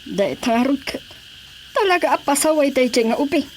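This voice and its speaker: A woman's voice: high voice, expressive, moderate pace, a little mellow, a little hiss or hum, low-quality recording. A woman acting a stern, complaining lady of the house in a radio drama.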